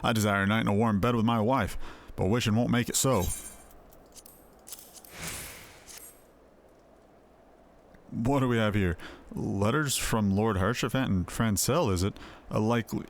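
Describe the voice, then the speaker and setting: Man doing a low, sardonic, weary knight. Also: fairly quick, gravelly. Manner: sardonic, dismissive, archaic phrasing. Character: world-weary, sardonic male knight, dismissive of visitors. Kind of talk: video game character dialogue, read aloud. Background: music.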